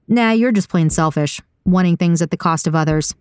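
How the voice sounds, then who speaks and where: A woman voicing a cynical, brooding, stubborn man in an audiobook, blunt and insistent in a low-key way. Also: moderate pace, darkish tone, smooth, natural voice. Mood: scornful. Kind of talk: audiobook character dialogue.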